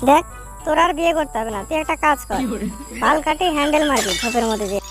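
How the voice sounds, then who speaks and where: Performer doing brash, high-pitched cartoon village lad. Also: expressive, rapid-fire, a bit bright, faint music behind. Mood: mocking, irritated. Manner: brash, mocking, slangy. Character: crude, quarrelsome young village men in a comic cartoon. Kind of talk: comic cartoon dubbing, foul-mouthed banter.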